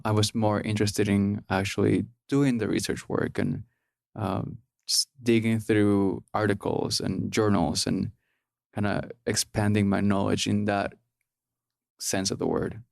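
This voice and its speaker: Podcast guest in his thirties, from Peru, low gravelly voice, halting with fillers, dry close mic. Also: level tone, a little mellow, no room sound. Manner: hesitant, many ums and restarts. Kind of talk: casual interview.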